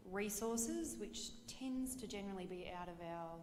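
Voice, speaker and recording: A woman's voice: mid-range voice, animated, moderate pace. Woman reporting back in echoey hall. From Victoria, Australia. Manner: confident, listing points. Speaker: workshop participant. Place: large conference hall. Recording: echoey hall with background noise.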